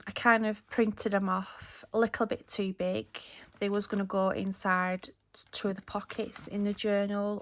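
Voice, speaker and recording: A woman talks at a slow, unhurried pace with a medium pitch. She presents casually, chatty and halting as in a homemade craft vlog, and her voice sounds a little worn, with some throat clearing.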